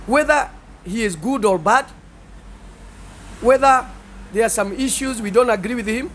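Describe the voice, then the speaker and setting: A middle-aged man with a Kenyan accent, a pastor speaking earnestly and haltingly in an interview, pausing and repeating phrases. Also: very high voice, a bit bright, slight background noise. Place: seated indoors in a small studio set. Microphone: handheld interview microphones held by the interviewer.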